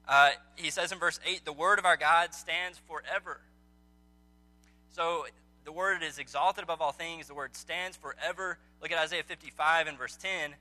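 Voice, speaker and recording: Bright-voiced man teaching a Bible study. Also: a little high, low-quality recording. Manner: conversational, quizzes listeners, frequent ums. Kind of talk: casual teaching talk to a small group. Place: indoor meeting room with a group.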